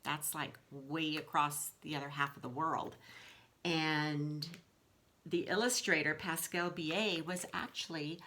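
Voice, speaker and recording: A woman with a bright, fairly low voice reads a children's storybook aloud as a school librarian. Her manner is reassuring and explanatory, pitched at young pupils. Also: fairly even intonation, takes it slowly, a little hiss or hum.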